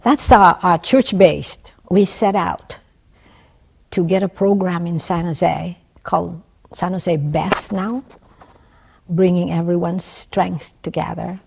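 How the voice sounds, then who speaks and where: A woman's voice: on the low side, steady tone, slow, creaky, slightly rough. A woman in her sixties with a Filipino accent, a nurse and community activist telling her personal story in an interview, earnest and emphatic, often tagging "right?". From Philippines (La Union, Ilocos region). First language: Ilocano. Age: 60s.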